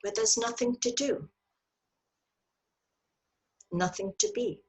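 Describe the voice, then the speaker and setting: A woman leading a guided meditation in a soft, breathy voice, with gentle, deliberate phrasing and long pauses, heard over a video call. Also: a little high, sing-song. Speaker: meditation teacher leading a yoga nidra. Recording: video call audio with clicks.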